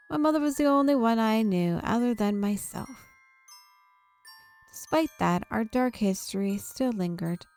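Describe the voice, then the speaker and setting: A woman's voice: mid-range voice, a little mellow. A woman streamer reads visual novel text aloud expressively, dropping in casual asides. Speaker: video game streamer. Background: game music.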